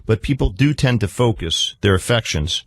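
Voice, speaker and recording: A man's voice: fairly low pitch, lively intonation, normal speed, husky, dry recording. An American man working as a therapist in a conversational interview, probing with questions and reflecting answers back. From Marblehead, United States. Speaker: therapist conducting an on-air session.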